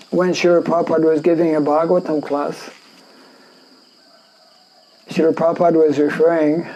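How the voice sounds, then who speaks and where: A man with a French Canadian accent, a native French speaker from Canada, reading and explaining scripture in a class, halting with fillers and frequent throat clearing. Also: fairly high pitch, dark tone, rough recording. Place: indoor class in a rural town.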